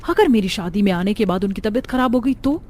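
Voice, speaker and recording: A woman's voice: mid-range voice, lively intonation, a little fast, a little mellow, natural voice. A woman voicing a spoiled, bossy rich daughter in a Hindi moral-story cartoon. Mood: indignant. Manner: bossy, insistent. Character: spoiled, demanding rich young bride-to-be daughter.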